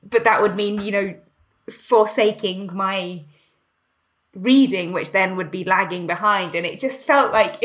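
A woman's voice: medium pitch, a bit bright. A woman, a translator and writer, answering interview questions in a reflective, searching way, often restarting her sentences and laughing lightly. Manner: thoughtful, searching, many restarts and "you know"; laughs lightly while talking.

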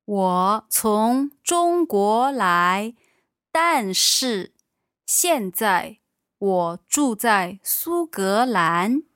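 A woman from China, a native Mandarin speaker, gives model sentences very slowly and deliberately. Her pitch sits in a middle range. The voice sounds close and dry. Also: big pitch swings, fairly bright tone, no echo. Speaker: language teacher. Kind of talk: podcast language lesson.

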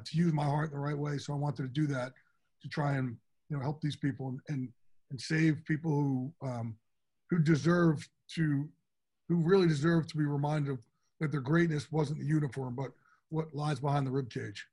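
A middle-aged man with a big, enthusiastic voice, pitched a bit higher than most men. He answers interview questions in a candid, from-the-heart way. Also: steady tone, normal speed, warm tone, husky. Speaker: interview guest. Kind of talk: casual interview talk.

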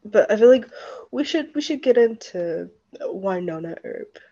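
A young woman co-hosting a podcast in casual chat, sounding tired and relaxed, recorded without her usual microphone. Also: mid-range voice, unhurried. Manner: tired, relaxed, lots of fillers. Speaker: podcast co-host. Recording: dull lo-fi mic sound.

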